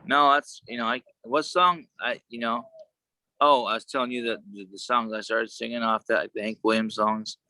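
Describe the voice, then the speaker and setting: A man chatting casually on a video call, with a worn, sometimes gravelly voice and a halting, rambling way of talking. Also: medium pitch, steady tone, pushed and bright, no room sound. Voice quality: gravelly at times. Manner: rambling, halting, many restarts. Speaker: show co-host.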